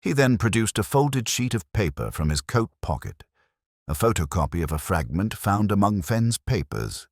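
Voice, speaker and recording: A man playing a weary, brooding police inspector who narrates a mystery in a measured, literary, melancholy manner. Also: on the low side, sing-song, creaky, no room sound, studio quality, exaggerated voice. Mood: grave, pensive. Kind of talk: scripted first-person mystery narration.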